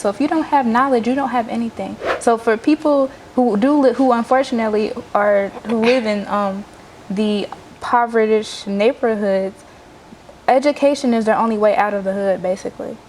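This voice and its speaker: A woman's voice: fairly high pitch, normal speed, a little hiss or hum, low-quality recording. An American teenage girl giving earnest interview answers as a youth volunteer. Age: teens.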